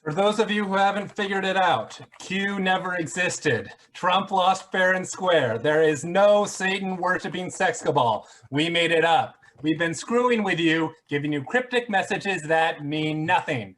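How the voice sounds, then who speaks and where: A man's voice: high-pitched, measured pace, hardly any pauses, a bit bright, a little hiss or hum, rough recording. A man playing a smug, flippant internet conspiracy grifter who mocks theatrically in a one-act play. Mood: taunting. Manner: sarcastic, mocking, theatrical.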